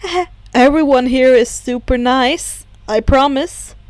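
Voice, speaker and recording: A woman voicing an earnest, expressive teenage boy in a dramatised story reading. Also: sits high, slow, dry recording. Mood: gentle, reassuring. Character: teenage boy, excitable, earnest son of a villain.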